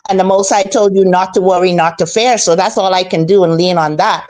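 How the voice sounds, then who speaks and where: A woman from the Bahamas, talking casually and calmly about faith, a little preachy and repeating her points. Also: lowish voice, fairly quick. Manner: calm, preachy, repeats herself. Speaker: guest commentator. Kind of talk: casual faith-based chat.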